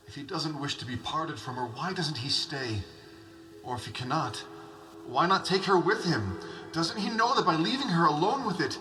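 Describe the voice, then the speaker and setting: An actor playing an imperious, distrustful and tormented sultan in a dramatic, declamatory audio drama style. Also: male voice, a little high. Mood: scornful musing. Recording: music bed behind the voice.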